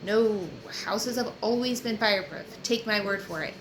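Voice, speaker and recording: An uneasy fireman of thirty from a novel, acted out by a woman reader. He sounds defensive and quick to dismiss. The recording is roomy, with noticeable noise. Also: mid-range voice, lightly acted. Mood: assured. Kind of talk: audiobook character reading. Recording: roomy echo with clear background noise.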